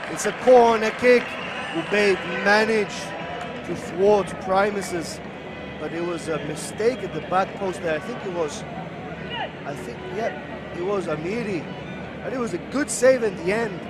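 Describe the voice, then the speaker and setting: A man giving excitable live play-by-play commentary on a football match. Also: high-pitched. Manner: excitable, rising to shouts at chances. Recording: loud crowd noise behind commentary. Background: stadium crowd roar.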